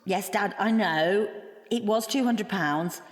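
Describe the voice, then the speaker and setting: An actress playing a wounded wife in an audio drama, rambling in a wry, deadpan and digressive way. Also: female voice, mid-range voice, slow. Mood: aggrieved. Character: wounded, rambling wife dissecting her unwanted portrait gift. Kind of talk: audio drama monologue and dialogue.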